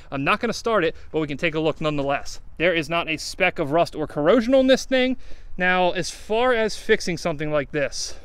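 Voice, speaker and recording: A man's voice: a little high, fairly bright tone, clean tone, no echo. A man with a Texan accent walking an outdoor salvage lot, giving wry, candid commentary on wrecked cars. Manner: wry, candid, quick asides. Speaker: salvage car buyer and vlogger. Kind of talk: casual walkaround commentary. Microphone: handheld camera at arm's length.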